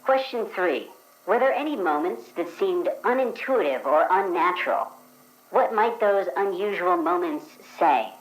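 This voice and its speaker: A woman playing the reader of a dream questionnaire, asking each question in a crisp, procedural way. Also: fairly low pitch, expressive, unhurried, low-quality recording. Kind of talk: actual play, reading scripted questions.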